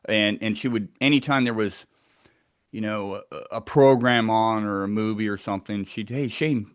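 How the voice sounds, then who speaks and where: Scottish male guest, low-pitched, easygoing and chatty, dry recording. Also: normal speed, clean tone, no room sound. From Scotland. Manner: relaxed, chatty, with fillers and laughs. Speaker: guest researcher. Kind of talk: casual interview over a video call. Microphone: video call.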